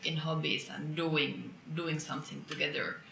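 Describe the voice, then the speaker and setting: A man's voice: fairly high pitch, level tone, normal speed, vocal fry, bright, forceful tone. A man talking casually and a little hesitantly in a discussion. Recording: echoey room, faint noise.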